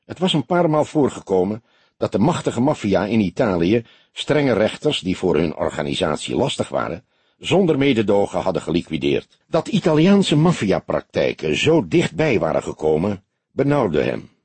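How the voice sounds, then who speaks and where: A man reads a scripted detective novel as an expressive audiobook narrator. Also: on the low side, moderate pace, husky, no room sound. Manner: expressive storytelling with acted dialogue.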